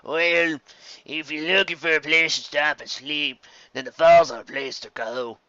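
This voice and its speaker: A man's voice: a little high, fairly even intonation, measured pace, bright, forceful tone, lo-fi sound. Man doing a grizzled, folksy old stallion. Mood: curious, knowing. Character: grizzled old stallion tavern drinker, curious, knowing.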